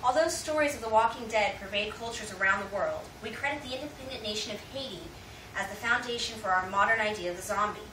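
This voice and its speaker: A woman's voice: mid-range voice, fast, distant mic. An American woman giving a prepared informative speech in a formal, rhetorical presenting style. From United States. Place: indoor room, speaking to an audience. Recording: echoey room from afar, loud noise.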